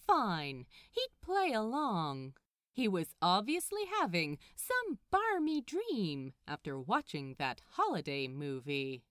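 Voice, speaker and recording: A woman narrating a written story in an expressive audiobook style. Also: medium pitch, no echo, studio quality. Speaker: narrator of a fan fiction audiobook.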